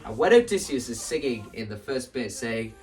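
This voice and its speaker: A man explaining his staging ideas to camera in a casual, animated way, like a video creator walking viewers through a plan. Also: medium pitch, moderate pace. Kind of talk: casual spoken walkthrough to camera. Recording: voice distant from the mic, music underneath.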